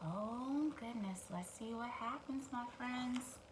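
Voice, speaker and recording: A woman narrating a children's story in an animated way, addressing young listeners with wondering questions. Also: on the high side, slow, noisy background. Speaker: children's storytime host and reader.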